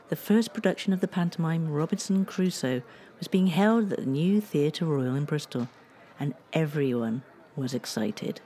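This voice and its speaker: Low-voiced woman narrating history. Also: dark tone. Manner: measured storytelling delivery. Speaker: history podcast host. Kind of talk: scripted podcast narration.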